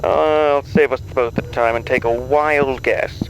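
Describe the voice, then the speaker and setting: A man's voice: medium pitch, fairly even intonation, a little slow, a little hiss or hum, close to an everyday voice, rough recording. A man playing a suavely menacing game show boss in an audio drama, theatrical, condescending and mock-polite.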